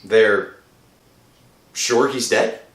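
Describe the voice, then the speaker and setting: A woman voicing a guilt-ridden, desperate husband in a halting, brooding old-time radio thriller style. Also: very deep voice, echoey room, a little hiss or hum, exaggerated voice. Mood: uneasy. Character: guilt-ridden, desperate working-class husband narrating his crime.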